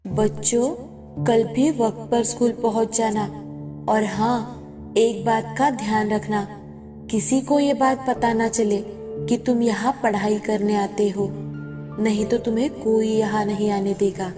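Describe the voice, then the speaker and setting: A woman's voice: fairly high pitch, little pitch movement, measured pace, music in the background, voice pushed down, natural voice. A woman voicing a kindly but firm ghost schoolteacher in a children's story. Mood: calm, cautioning.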